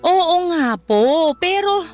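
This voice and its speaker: A woman's voice: very high voice, lively intonation, slow pace, darkish tone, soft background music, full character voice. A woman playing an aggrieved young Filipino mother in a radio drama, respectful but firm as she stands up to her own mother.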